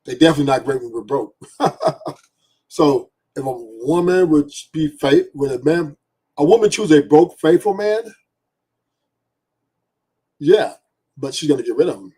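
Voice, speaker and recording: A man on a panel, laying out his opinions in measured, drawn-out phrases. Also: fairly high pitch, animated, dark tone, slightly rough. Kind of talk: casual panel debate.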